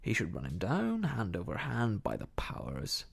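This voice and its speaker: Man playing storybook seafaring landlord, cunning, blustering, salty sailor talk, gravelly creak, wildly animated pitch. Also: a little slow, full character voice. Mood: excited. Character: cunning one-legged seafaring tavern landlord, hearty then stern.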